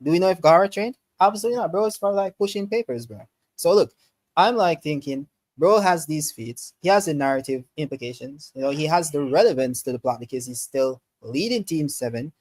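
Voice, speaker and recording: Slangy, insistent man with a lightly raised pitch. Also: lively intonation, brisk, a little mellow, dry recording. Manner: slangy, insistent, repeatedly addressing others as bro. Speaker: podcast panelist. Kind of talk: casual fan debate about anime.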